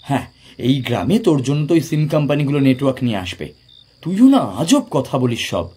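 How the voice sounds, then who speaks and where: Male actor for practical young friend in horror audio play; rough, breathy voice, lively intonation. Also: medium pitch, brisk. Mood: teasing, bossy. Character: young man, Raktim, blunt, practical friend on a night trip. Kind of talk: audio drama dialogue in a Bengali ghost story.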